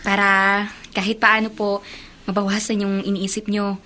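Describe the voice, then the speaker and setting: An actress in a Filipino radio drama playing a dutiful, hardworking young student niece, respectful and eager. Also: female voice, mid-range voice, completely flat delivery, normal speed, pushed and bright, slight background noise, lightly acted. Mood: earnest, reassuring. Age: young adult.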